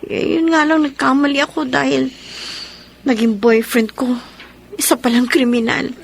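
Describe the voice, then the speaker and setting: An actress playing an anxious, gentle young woman in an emotional, earnest radio drama performance. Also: high voice, fairly even intonation, moderate pace, gravelly, a little hiss or hum. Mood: flustered. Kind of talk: horror radio drama acting.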